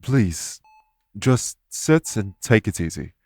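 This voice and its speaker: Man voicing a low-voiced, courteous elf, noisy recording. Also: big pitch swings, exaggerated voice. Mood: measured, explanatory. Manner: formal, measured, reassuring. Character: calm, courteous winged male elf, guilty and patient. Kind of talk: immersive audio roleplay, addressing the listener.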